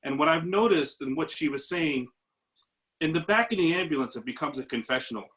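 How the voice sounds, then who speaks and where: A man's voice: on the high side, lively intonation, brisk, fairly bright tone, low-quality recording. A man, a paramedic, sharing an earnest, searching reflection in a discussion over a video call. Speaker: paramedic audience member.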